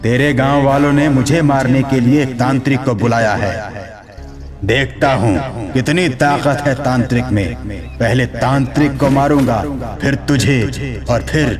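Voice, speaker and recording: A man's voice: mid-range voice, a little slow, over music, lightly acted. A man playing a menacing, boastful demon chief in a horror cartoon, taunting and commanding. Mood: threatening. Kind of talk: horror cartoon villain acting.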